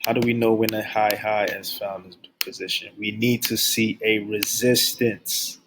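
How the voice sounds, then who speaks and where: A young man teaching forex basics, keeping his voice low and subdued, and repeating key phrases over and over as he drills them in. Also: level tone, unhurried, noisy background. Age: young adult. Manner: speaks low and subdued on purpose. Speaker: forex trading instructor. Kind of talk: screen-share trading lesson. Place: at his workplace.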